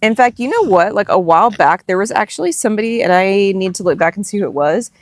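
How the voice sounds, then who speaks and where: Female vlog host, mid-range pitch, casual conversational delivery, dry outdoor sound. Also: expressive, fast, dry recording. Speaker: host, parent chatting with their child. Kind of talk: casual unscripted chat. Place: outdoors on a farm, sitting and chatting.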